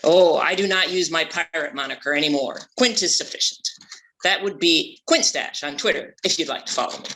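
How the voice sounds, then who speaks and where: Man playing a pompous, bright-voiced shark hunter. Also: a little high, fairly quick, a little hiss or hum. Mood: haughty. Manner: pompous, grandiose. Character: vain, boastful male shark hunter and former pirate. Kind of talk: comedy musical table read.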